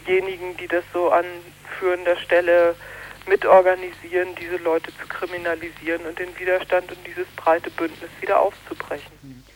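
A woman from northern Germany, a protest organizer, speaking earnestly in a radio news interview and often pausing on fillers and restarting. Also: on the low side, flat delivery, slight background noise, poor audio.

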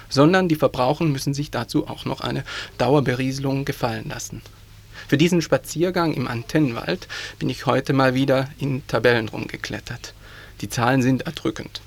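A man with a gravelly, fry-laden voice reads a scripted radio piece. His tone is dry and critical, and his pitch sits in the middle range. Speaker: radio presenter. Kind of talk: scripted informational segment. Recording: 1980s community radio broadcast.

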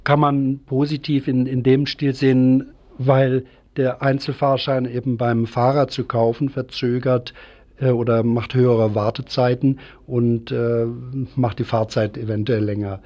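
A man giving an unscripted radio interview as a guest activist, explaining things in a halting way. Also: medium pitch, level tone, mellow.

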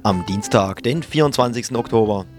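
A man's voice: medium pitch, brisk. A man hosting morning radio, making informal live announcements. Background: guitar music.

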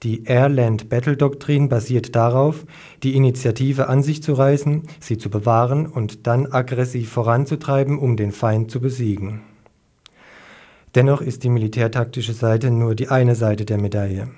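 A man's voice: medium pitch, steady tone, moderate pace, dark tone. A man presenting a radio news report, reading from a script.

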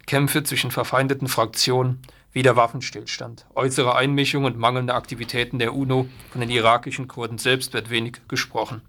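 Bright-voiced man announcing news headlines. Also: medium pitch. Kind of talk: reading scripted news headlines.

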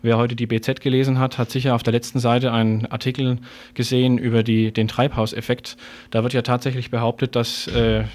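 A male radio presenter talks in a loose, conversational way. His intonation is flat and even, at an average male pitch. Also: fairly quick.

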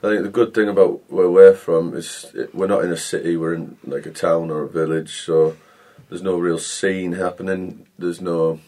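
A man's voice: sits low, steady tone, moderate pace, hardly any pauses, warm tone. A man from England, a band's singer, chatting in an interview with dry, laid-back humour. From North East England. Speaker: singer and guitarist. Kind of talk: interview chat.

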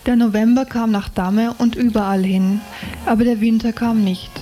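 Woman reading from a novel, soft mellow storytelling voice, bit of music behind. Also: fairly high pitch, steady tone, moderate pace. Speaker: reader of a novel excerpt. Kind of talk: scripted literary reading, storytelling narration.

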